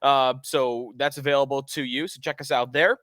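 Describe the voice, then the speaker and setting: A man hosting a sports podcast, talking in an energetic, opinionated, chatty way while getting over a cold and losing his voice. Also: medium pitch, moderate pace, dry recording, clean studio sound. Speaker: podcast host.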